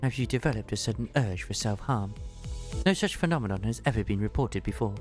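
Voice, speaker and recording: A man's voice: on the low side, animated, close to an everyday voice. Male reader as formal, coolly clinical woman adviser from a game, faint music. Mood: composed, clinical. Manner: formal, measured wording.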